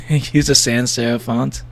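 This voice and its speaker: A man with a gravelly voice giving a casual livestream reaction, rambling and joking playfully as he comments and reads bits aloud. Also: mid-range voice, flat delivery, takes it slowly. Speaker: streamer reacting to horror videos.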